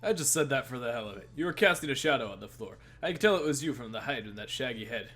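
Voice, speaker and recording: Male let's play narrator, average pitch, bantering reader, faint music underneath. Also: lively intonation, fast, a bit bright. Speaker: host reading a visual novel.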